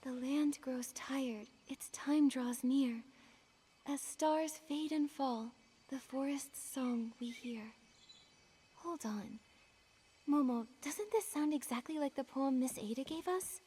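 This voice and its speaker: An actress playing a kind, earnest young heroine in a video game, speaking softly and sincerely. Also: female voice, high voice, slow pace. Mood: puzzled. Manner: soft, gentle, sincere. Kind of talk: voiced video game cutscene dialogue. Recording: loud game music underneath.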